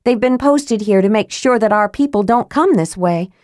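A woman voicing a feisty, impatient young female fighter who speaks up insistently and pitches her voice high. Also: no echo, clean studio sound, lightly acted. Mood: urgent. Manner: insistent, excited, high and quick to object.

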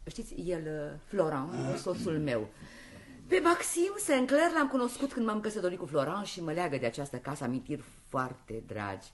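A woman's voice: deep voice, sing-song. A woman playing a gracious, coolly candid divorced actress in a radio comedy, speaking with polished assurance. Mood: gracious, wistful. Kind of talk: radio play acting, comedy.

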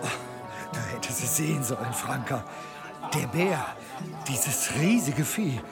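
An actor playing an excitable villager spinning a tale in an audio drama, animated and breathless. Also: male voice, sits high. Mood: awed. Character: excitable villager telling a heroic bear-fight tale. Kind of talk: German audio drama acting.

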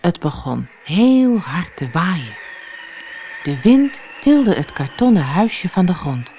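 A woman narrating a children's fairy tale as an audiobook reader, in a deliberate storytelling manner. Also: lowish voice, sing-song, dark tone, a little hiss or hum.

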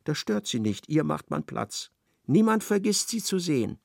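A man playing a wry literary narrator in a radio drama, expressive and ironic as he slips into a character's thoughts. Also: fairly high pitch, moderate pace, creaky, dry recording, clean studio sound, full character voice.

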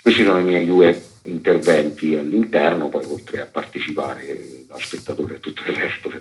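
A man's voice: a bass voice, steady tone, normal speed, slight background noise. A man, an author and actor, talking casually as a guest in a radio interview. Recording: dull, lo-fi radio interview sound.

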